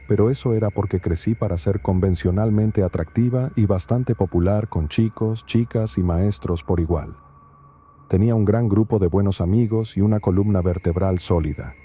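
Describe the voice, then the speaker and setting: A man reading a first-person true horror story in a steady, measured narration. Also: low voice, slightly rough.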